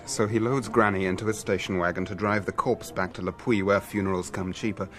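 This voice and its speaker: Low-pitched, fretful restaurant owner. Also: male voice, fairly even intonation, fairly quick, a bit bright. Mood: exasperated. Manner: fretful, exasperated. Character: fussy, aggrieved male restaurant owner, anxious for discretion. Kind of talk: radio drama acting.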